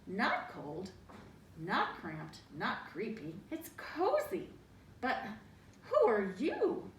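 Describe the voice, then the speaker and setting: A woman voicing a tiny, fussy male mouse from a children's storybook, squeaky and emphatic in short phrases. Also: wildly swooping pitch, extremely slow, echoey room, far from the mic, a little hiss or hum, exaggerated voice. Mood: pleased, curious. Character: tiny fussy male house mouse, cozy-loving squatter. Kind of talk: children's storybook character reading.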